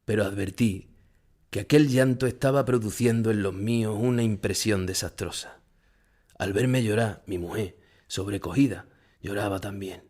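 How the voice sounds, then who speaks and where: Casting: low-voiced Spanish male actor for an older bullfighter haunted by grief, solemn first-person radio drama narration. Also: moderate pace, darkish tone, close to an everyday voice. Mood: grieving, haunted. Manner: solemn, emotional storytelling. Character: famous older bullfighter, grief-stricken, remembering his dead rival. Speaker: narrator playing a bullfighter recalling the past.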